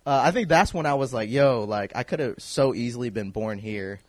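A man in his thirties from Kansas City, a guest telling his story in a relaxed, rambling way, full of fillers and restarts. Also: medium pitch, fairly quick, no echo. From Kansas City, USA. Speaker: guest, clothing designer. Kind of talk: casual podcast interview.

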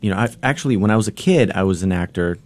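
Male guest, very low voice, earnest and rambling. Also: expressive, fast talker. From Boston. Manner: earnest, rambling, many restarts. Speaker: actor, studio guest. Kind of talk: interview storytelling. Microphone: studio microphone.

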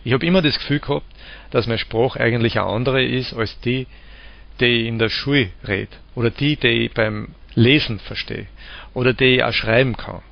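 A man with an Austrian accent from the Vienna area, calmly explaining dialects and giving spoken examples. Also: medium pitch, moderate pace, vocal fry, no room sound. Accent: Austrian German, Lower Austria/Vienna. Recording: dull lo-fi recording.

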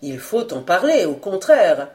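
A woman reader voicing a sincere, kindly young male journalist in an audiobook, speaking in a measured, formal way. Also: on the low side, sing-song, darkish tone. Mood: reassuring.

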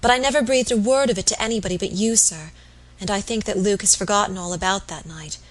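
A woman's voice: medium pitch, fast, lightly acted, low-quality recording. A woman voicing an anxious, deferential young wife in an audiobook, speaking eagerly and earnestly. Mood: eager, confiding. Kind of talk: audiobook character voice.

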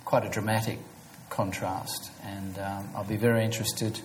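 A man's voice: on the low side, level tone, normal speed, slight background noise. A man interviewing an author, asking long, searching questions with many fillers.

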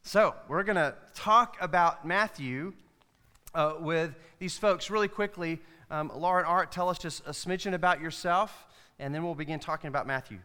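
Brief: male pastor host, crisp clear voice, friendly prompting questions, large echoing sanctuary. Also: fairly high pitch, moderate pace, bright, forceful tone. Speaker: associate pastor hosting an interview. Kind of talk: on-stage church interview. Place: church sanctuary during worship service. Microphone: stage microphone. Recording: long hall reverb from large room.

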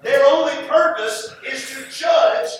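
An American man preaching a sermon in an emphatic style, with rhetorical repetition and deliberate pauses. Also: very high voice. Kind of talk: sermon to a congregation. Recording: echoey, distant mic, faint background noise.

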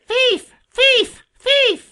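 Extremely high-pitched, harsh, piercing, hot-tempered storybook jay, screaming accusations. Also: male voice, extremely slow, pushed and bright, heavily acted. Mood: screaming anger. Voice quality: harsh, screechy. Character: furious, shrieking male blue jay, scolding and hot-tempered. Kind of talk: animal storybook character voice.

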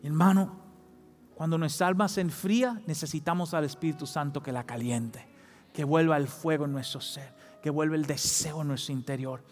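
A man's voice: on the high side, normal speed, in a large hall. A man preaching a sermon as pastor, fervent, rising to emphatic peaks. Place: church.

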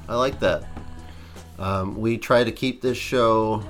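A man's voice: lowish voice, slow pace, faint music behind. A man co-hosting a podcast in casual chat, joking and rambling with lots of fillers, a bit stuffed up from allergies. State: congested from allergies. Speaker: podcast co-host.

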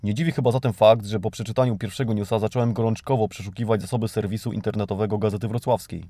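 A man's voice: lowish voice, fairly even intonation, quick pace, warm tone, dry recording. A man from Poland hosting a podcast, delivering a satirical scripted monologue in an ironic, mock-grandiose, theatrical way. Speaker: podcast host. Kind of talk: satirical scripted monologue reading and mocking news items.

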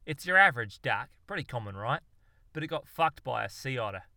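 A man voicing a brash, boastful self-styled zoologist with an Australian accent, talking loudly in blustering slang. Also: mid-range voice, expressive, a little fast, vocal fry, dry recording, clean studio sound, full character voice.

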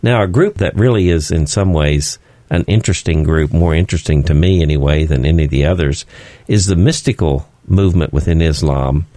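A man speaking as a studio guest on a radio program, explaining slowly and haltingly with many fillers. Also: extremely low pitch, mellow, no room sound.

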